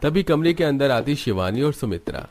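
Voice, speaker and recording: A man reading scripted narration for an animated family story. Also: medium pitch, a little fast.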